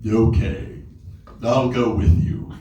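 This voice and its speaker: A man playing a nervous, lonely, balding homebody with exaggerated, drawn-out delivery in a comedy table read. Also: medium pitch, mellow, muffled recording, slight background noise, natural voice. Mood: awkward, forlorn. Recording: very echoey room, distant mic, audience.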